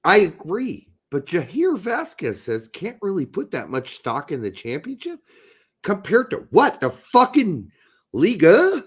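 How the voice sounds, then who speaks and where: A man with a hoarse voice hosting a casual live soccer talk show, emphatic and swearing when fired up. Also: high voice. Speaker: podcast host.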